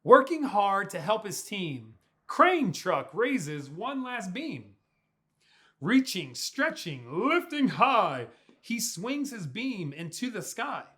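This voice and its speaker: A young man reads a picture book to children. His voice sits high for a man and swings widely in pitch. He takes his time, stretching words out in a playful, expressive style. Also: slow. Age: young adult. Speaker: pastor reading to children. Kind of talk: animated children's storybook read-aloud. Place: small furnished room indoors.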